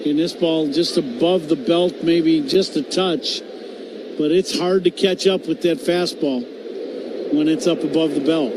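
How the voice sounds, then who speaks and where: A man's voice: on the high side, fairly even intonation, unhurried. An American man giving conversational color commentary on a live baseball radio broadcast. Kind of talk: conversational live game analysis. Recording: noisy ballpark radio broadcast.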